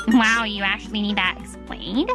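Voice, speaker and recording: A woman's voice: medium pitch, moderate pace, creaky, over music, close to an everyday voice. A woman playing a haughty, condescending, sneering rich in-law in a manga dub. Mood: disgusted. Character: haughty, mocking rich female in-law, cruel snob.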